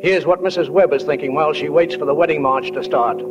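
A woman's voice: low-pitched, fast, very noisy, natural voice. A woman playing a folksy, plainspoken small-town stage manager who narrates an old radio drama in a dull, reverberant recording. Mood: folksy, musing.

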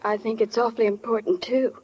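A woman's voice: fairly high pitch, little pitch movement, a little fast, husky, dull audio. A woman playing an emotional, frightened young small-town bride with an earnest, pleading manner in an old-time radio drama. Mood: shy, tender.

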